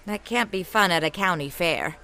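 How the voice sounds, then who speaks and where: A woman's voice: fairly low pitch, moderate pace, pushed and bright. A woman playing a perky, curious young magician's assistant with a wry streak in a comic audio drama.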